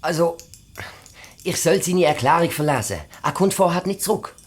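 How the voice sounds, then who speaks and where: A woman playing a hesitant boy detective with halting speech in a German children's audio drama. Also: fairly low pitch, husky. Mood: uneasy.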